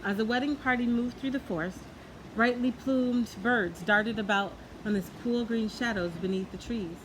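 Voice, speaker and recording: A woman's voice: on the high side, moderate pace. A woman narrating a children's story from a book as a storyteller. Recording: clearly noisy background.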